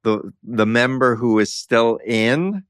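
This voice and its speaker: A man's voice: mid-range voice, slow, clean tone, dry recording, studio quality. A man hosting a podcast interview, speaking earnestly and sometimes repeating words as he starts. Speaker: podcast host. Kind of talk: interview conversation.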